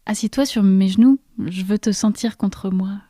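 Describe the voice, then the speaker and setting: Woman doing a languid sensual dancer, husky breathy, super close and dry, soft music under it. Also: mid-range voice, moderate pace, dry recording, close to an everyday voice. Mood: flirtatious. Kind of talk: French fiction podcast acting.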